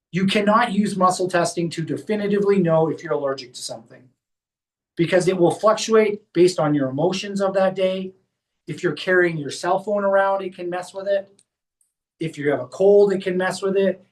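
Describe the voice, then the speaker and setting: A high-pitched man talks a small group through a hands-on demonstration at a clinic workshop, explaining each step as he goes. His voice is picked up from some distance, with the room around it. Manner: explains step by step while guiding a volunteer. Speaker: chiropractor leading a workshop.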